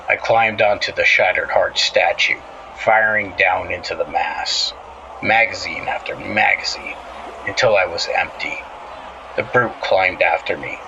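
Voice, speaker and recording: A man's voice: sits low, vocal fry, sharp tone, muffled recording, a little hiss or hum. A man narrating a scripted horror story as a show host, dramatically, in short clipped phrases. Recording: music bed under the voice. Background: music.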